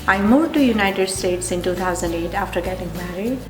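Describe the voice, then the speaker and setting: A woman's voice: mid-range voice, fairly quick. A woman in her thirties from India, speaking with an Indian accent. She is being interviewed and talks in a hesitant way, with many fillers and restarts. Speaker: interviewee, physical therapist. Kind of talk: conversational interview. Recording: echoey room with background music.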